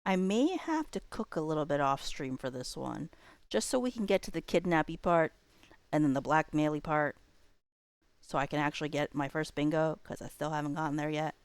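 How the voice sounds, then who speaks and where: A woman livestream host chatting casually, rambling along and thinking out loud. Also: sits low, expressive, brisk, no room sound.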